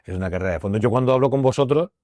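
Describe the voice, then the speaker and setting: A man's voice: mid-range voice, very fast, mellow, dry recording, studio quality. A man with a Murcian Spanish accent, a voice teacher and actor, explaining his craft thoughtfully in a podcast interview and repeating his key phrases. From Murcia, Spain.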